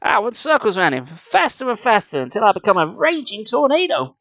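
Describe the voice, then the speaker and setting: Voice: medium pitch, big pitch swings, fairly quick, a bit bright, voice pushed up. A storybook reader voicing a swaggering, declamatory male gazelle superhero with a boastful manner.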